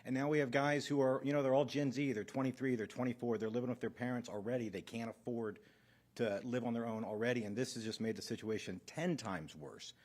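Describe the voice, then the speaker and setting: A man's voice: mid-range voice, steady tone, a little fast, darkish tone. A man giving testimony as an air traffic controller at a press conference, earnest, in short plain sentences.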